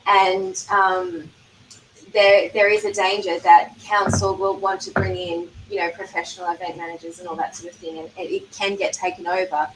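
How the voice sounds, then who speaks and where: A woman's voice: medium pitch, little pitch movement. Australian woman speaking at a seminar panel. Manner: measured, thoughtful with frequent fillers. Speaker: library event organiser. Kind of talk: panel discussion answer. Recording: echoey room from far off, faint noise.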